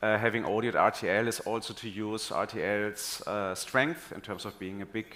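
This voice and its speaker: A man introducing himself on a conference panel as a digital audio executive, measured and formal. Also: on the low side, fairly even intonation, sharp tone.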